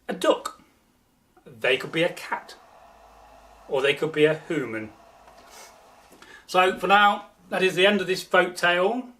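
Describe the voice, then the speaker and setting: High-voiced man slowly telling a folktale. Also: fairly bright tone. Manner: deliberate, dramatic pauses and repetition for emphasis. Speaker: storyteller, channel host. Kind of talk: oral folktale storytelling.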